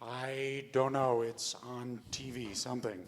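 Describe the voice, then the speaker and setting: A man's voice: medium pitch, little pitch movement, takes it slowly, a little hiss or hum, close to an everyday voice. A man playing a weary, laconic bartender in a live staged play reading, casual in manner. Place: theatre stage before a live audience. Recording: reverberant theatre hall, live stage.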